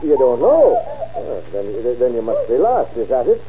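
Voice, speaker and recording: A man's voice: mid-range voice, huge pitch swings, normal speed, a little hiss or hum. An actor playing a kindly middle-aged patrolman who stammers and coaxes a lost boy, in 1940s radio drama style. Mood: coaxing. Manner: stammering, coaxing and fatherly. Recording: dull old radio recording.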